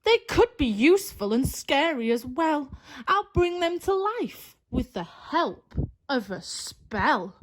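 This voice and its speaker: A woman's voice: high-pitched, big pitch swings, slow, heavily acted. A woman voicing a short-tempered, bossy storybook witch, screechy and snappy as she gives orders. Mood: scheming. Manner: snappy, scolding, commanding. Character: bossy, short-tempered witch who craves scary things.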